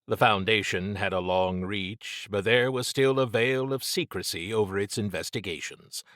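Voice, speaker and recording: A man reads scripted fiction as an audiobook narrator. His voice is fairly low and the telling is dramatic. The recording is dry, with very little room sound. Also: moderate pace, fairly bright tone, dry recording.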